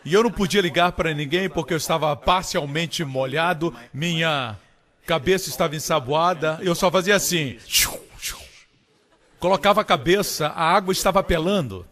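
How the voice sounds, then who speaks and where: A man preaches with strong emphasis, his fairly high voice leaping upward when he drives a point home. A long reverb tail hangs behind every phrase, as if the sound carries through a large hall. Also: moderate pace, a bit bright. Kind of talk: Portuguese-dubbed sermon.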